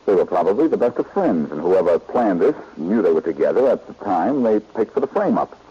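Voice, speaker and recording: An actor playing a confident, glib, teasing amateur detective in a 1940s radio drama. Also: male voice, sits low, big pitch swings, a little fast, husky, heavily acted. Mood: brisk, intent. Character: wisecracking, confident amateur detective, adult man. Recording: muffled, dull vintage radio recording.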